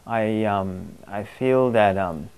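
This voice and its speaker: A man from Guyana who answers interview questions thoughtfully, pausing often with "um" as he searches for words. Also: lowish voice, gravelly, darkish tone, smooth. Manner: thoughtful, halting, with many pauses and "um"s.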